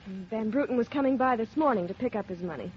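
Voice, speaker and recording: An actress playing an earnest, distraught secretary in an old-time radio drama, on a muffled, noisy recording. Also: female voice, on the high side, lively intonation, quick pace, lightly acted. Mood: subdued, earnest. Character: distraught young female office secretary, earnest and defensive.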